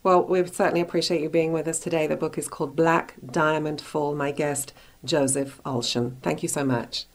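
A woman with a notably low-pitched voice hosts a radio interview. She speaks conversationally, as a host does when chatting with a guest and moving the talk along. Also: steady tone, normal speed.

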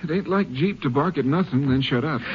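A man's voice: a little high, brisk, lightly acted. Plain-spoken ranch husband, played by a man, muffled radio. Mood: calm, dismissive. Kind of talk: 1950s radio drama acting. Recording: dull, noisy old radio recording.

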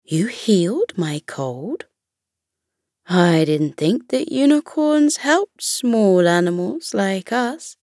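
A woman voicing a small, timid and polite male hedgehog in a children's storybook, speaking softly and hesitantly. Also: mid-range voice, very animated, slow pace, dry recording, clean studio sound. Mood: amazed, grateful.

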